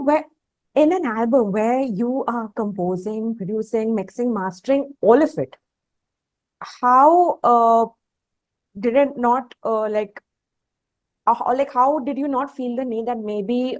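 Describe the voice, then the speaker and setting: Higher-voiced Indian woman interviewing, dry sound. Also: darkish tone, dry recording. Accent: Indian English. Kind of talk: conversational interview questioning.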